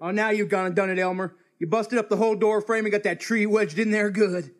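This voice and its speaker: An actor playing a fussy, folksy old hotel keeper in old-time radio comedy, given to exasperation. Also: male voice, high-pitched, fairly even intonation, fairly quick, close to an everyday voice. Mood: exasperated. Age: older. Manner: exasperated, folksy drawl grammar.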